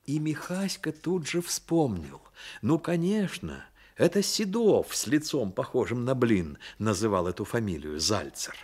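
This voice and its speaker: A man's voice: medium pitch, sing-song, a little slow, a little mellow, breathy edge. A man narrating a radio play as a literary storyteller, with dramatic delivery.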